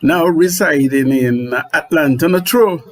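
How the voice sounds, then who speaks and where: A man hosting a live radio interview, relaxed and jokey, with hesitant fillers. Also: fairly high pitch, a little slow. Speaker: radio host.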